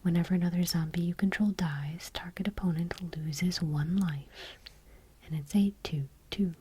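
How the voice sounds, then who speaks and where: A woman doing gentle ASMR, reading aloud in a whispery, breathy, deliberate voice close to the microphone. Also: lowish voice. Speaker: ASMR video creator. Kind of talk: soft-spoken ASMR, reading trading cards aloud. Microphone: close microphone, dry.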